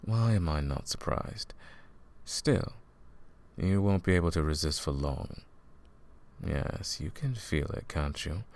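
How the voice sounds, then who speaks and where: A man playing a smug, scheming royal advisor and sorcerer in a fantasy audio roleplay, silky and condescending, with a gravelly voice. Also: extremely low pitch, lively intonation, a little mellow, exaggerated voice. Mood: hypnotic, gloating. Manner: silky, condescending, theatrical, drawn-out menacing phrasing. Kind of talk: fantasy audio roleplay, intimate villain monologue.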